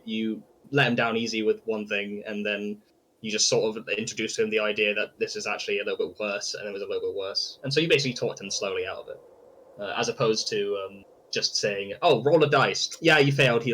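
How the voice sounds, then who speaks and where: A man's voice: on the low side, steady tone, quick pace, a bit bright, slight background noise, low-quality recording. A man speaking casually as game master, wrapping up a tabletop session in hesitant, rambling explanations.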